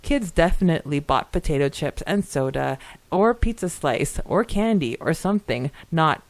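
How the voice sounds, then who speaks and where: Animated, lower-voiced woman podcast co-host. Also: normal speed, dry recording. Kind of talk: casual chat and reading listener comments aloud.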